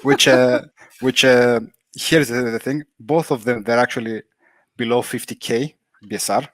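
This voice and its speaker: A man from Germany whose first language is Greek, a guest on a video-call interview, speaking carefully with many pauses. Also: medium pitch, steady tone, no echo.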